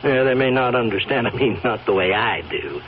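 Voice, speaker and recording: A man's voice: mid-range voice, lively intonation, a little fast, close to an everyday voice. Man playing a sly folksy blackmailing stable hand, swooping delivery. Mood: sly, insinuating. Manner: folksy, rambling, insinuating, chuckling drawl of hesitations. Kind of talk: old radio drama acting. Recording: dull, hissy old radio recording.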